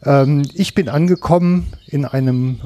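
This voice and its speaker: A man's voice: on the high side, unhurried, warm tone. A man hosting a hunting podcast, talking casually with many hesitations and fillers. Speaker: podcast host.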